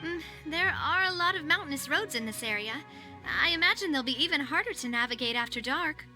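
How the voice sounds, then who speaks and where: A woman's voice: high voice, expressive, fairly quick, pushed and bright, soft background music, full character voice. A woman playing a confident, breezy, playful young woman in a video game. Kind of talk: video game character acting.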